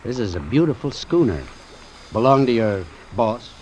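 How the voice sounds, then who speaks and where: Wary hard-boiled doctor, animated vintage radio voice , actor. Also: male voice, mid-range voice, moderate pace, slight background noise, close to an everyday voice. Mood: wry, probing. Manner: terse, suspenseful. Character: wary, hard-boiled doctor narrating his sea adventure. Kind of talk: old-time radio drama acting and narration. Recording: vintage radio drama with music underneath.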